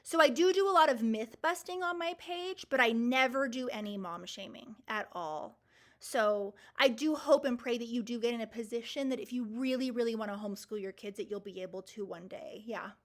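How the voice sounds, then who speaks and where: A woman's voice: a little high, fairly quick. A woman in her forties hosting a live Q&A, answering viewer comments in an enthusiastic, emphatic and reassuring way. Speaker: host.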